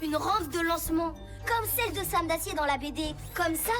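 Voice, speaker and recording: A woman's voice: extremely high pitch, moderate pace. A woman voicing a brave, enthusiastic young boy rescue motorbike in a French children's cartoon dub, encouraging like a big brother. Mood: eager. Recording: loud music under dialogue.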